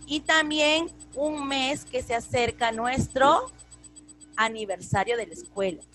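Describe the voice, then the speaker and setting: Slow, patient teacher on a call. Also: female voice, high voice, a bit bright, soft background music. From Peru. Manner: patient, repeats phrases, drawn-out English words. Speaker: English teacher. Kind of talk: online class teaching. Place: home room with altar behind.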